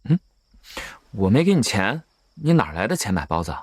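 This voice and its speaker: A man's voice: on the low side, sing-song, moderate pace, slightly rough, no room sound, heavily acted. A man playing a wary young cultivator who leads a group, in a Chinese audio drama. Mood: casual. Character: wary young male cultivator, quietly troubled group leader.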